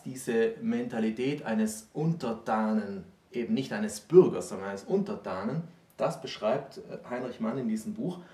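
A man in his forties, a historian explaining in a measured, factual, academic way during a conversation. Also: fairly low pitch, gravelly, darkish tone. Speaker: historian, regular guest expert. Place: small book-lined room, indoors. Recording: roomy sound, distant microphone.

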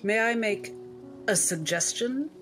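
A woman's voice: a little high, expressive, measured pace, a bit bright, voice pushed up. A prim, genteel doctor in a fantasy tabletop game, acted by a woman. The manner is polite and insinuating, with music under the voice. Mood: sweetly insinuating.

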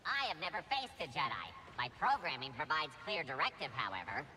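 A chatty robot foot soldier from a video game, bright and nasal. The delivery swings up and down, naive and boastful, through robotic processing. Faint ambience sits behind. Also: male voice, fairly high pitch, wildly swooping pitch, brisk, slight background noise, heavily acted, low-quality recording. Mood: puzzled, chatty. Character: cocky, dim, chatty battle droid foot soldier.

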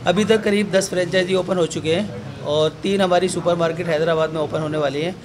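An upbeat man pitches a business with a salesman's push, his voice set fairly high. He speaks close into a handheld news mic. A crowd chatters behind him. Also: fairly even intonation, a little fast. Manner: upbeat, salesy, opens with a long Islamic greeting. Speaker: company representative interviewed at an office opening. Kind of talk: promotional interview answers. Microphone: handheld news mic held up close.

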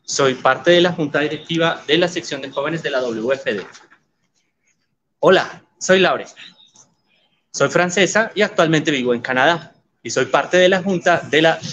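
Guy interpreting live, calm and even, a bit higher voice, slightly muffled. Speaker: sign language interpreter voicing the guests.

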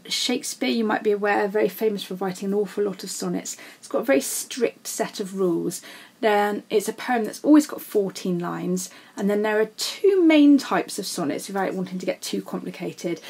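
A woman gives a recorded lesson as a teacher, in a husky voice of medium pitch. She explains things informally and speaks straight to her students. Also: darkish tone. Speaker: English literature teacher. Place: voice-over for a slide lesson.

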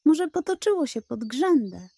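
A woman voicing panicky hens in a children's tale, in a shrill, frantic manner. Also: very animated, normal speed, warm tone, no room sound. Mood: panicked.

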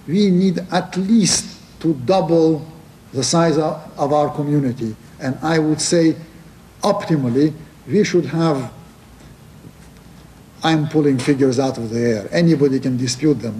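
Mellow older man addressing a conference. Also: a little high, a little hiss or hum. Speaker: religious leader giving an address. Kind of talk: formal speech at a lectern. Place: indoor conference hall at a podium. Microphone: podium microphone.

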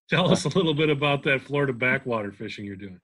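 A man's voice: medium pitch, expressive, a little fast, a bit bright. A man hosting a podcast, asking his guest friendly, open questions. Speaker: podcast host. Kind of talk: interviewing a guest.

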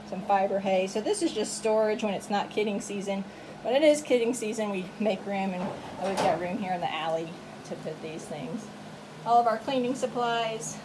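A woman's voice: mid-range voice, background noise. A woman narrating a casual walkthrough video tour as a farm owner, recorded on a handheld phone in a wooden barn. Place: wooden goat barn with open doors. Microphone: handheld phone, behind the camera.

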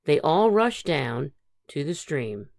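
Female storybook reader, lowish pitch, very animated, dry close sound. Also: takes it slowly, dry recording. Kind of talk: expressive children's read-aloud.

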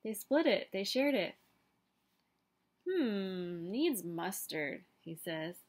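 A woman in her thirties reading a picture book aloud to children, with quiet explanatory asides. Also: mid-range voice, sing-song, slow. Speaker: storybook reader. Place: small indoor room by a window.

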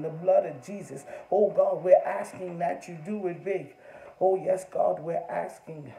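A man leading a fervent spoken prayer, repeating short refrains in a steady rhythm. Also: on the high side, vocal fry, reverberant, a little hiss or hum, rough recording.

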